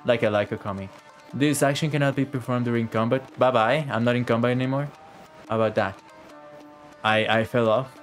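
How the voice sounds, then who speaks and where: A man's voice: medium pitch, fairly even intonation, fairly quick. A man streaming a game with casual, rambling live commentary full of slang and swearing. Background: faint game music.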